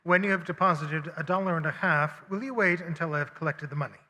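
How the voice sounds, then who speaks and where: An actor playing a brisk, impersonal long-distance telephone operator in a radio drama, with clipped formulaic politeness. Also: male voice, a little high, pushed and bright.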